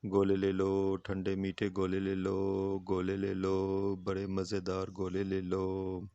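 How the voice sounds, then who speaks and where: A man voicing a village ice-ball seller and father in a cartoon story, with plain, deliberate delivery. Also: low voice, monotone, dark tone, clear, resonant tone, no echo, lo-fi sound. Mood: calling out.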